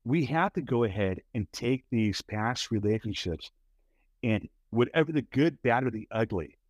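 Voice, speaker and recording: Expressive man with a big voice. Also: mid-range voice, normal speed, no echo. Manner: many restarts and repeated "right?". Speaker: podcast co-host. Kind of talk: casual podcast chat.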